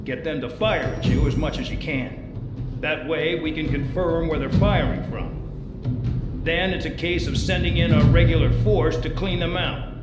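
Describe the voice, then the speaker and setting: An actor playing a smug, contemptuous military commander giving a video game mission briefing, sneering and authoritative. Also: male voice, fairly high pitch, measured pace, close to an everyday voice. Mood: commanding, disdainful. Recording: echoey hall, loud music underneath. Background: loud music.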